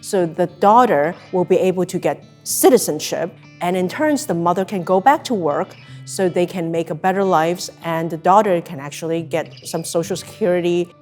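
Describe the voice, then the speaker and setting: A woman's voice: lowish voice, normal speed, hardly any pauses. Woman from Hong Kong, music behind her. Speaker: volunteer attorney. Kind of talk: testimonial interview.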